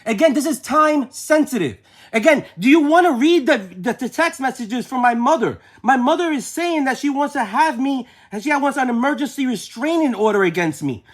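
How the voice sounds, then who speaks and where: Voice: high voice, animated, normal speed. A person arguing on a family phone call, insistent, exasperated and repeating points over and over. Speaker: nephew or niece on a phone call with an aunt.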